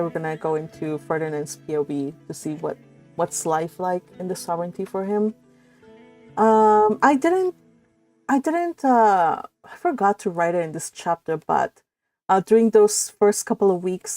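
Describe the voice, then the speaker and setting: A woman's voice: on the low side, dark tone. A woman, not a native English speaker, reading her own fan fiction aloud and then chatting about it, often laughing and restarting her sentences. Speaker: fanfic author reading her own story. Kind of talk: story reading and casual chat.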